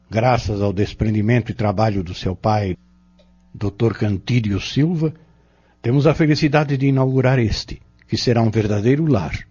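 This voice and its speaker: Deep, husky solemn orator. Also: male voice, mellow. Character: solemn, devout male orator addressing a gathering. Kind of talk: radio drama speech. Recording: dull lo-fi radio drama, music behind.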